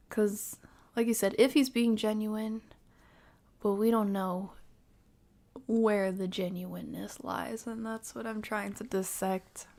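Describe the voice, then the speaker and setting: A woman streamer giving casual reaction commentary, measured and hedging as she thinks aloud. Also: medium pitch, fairly even intonation, mellow.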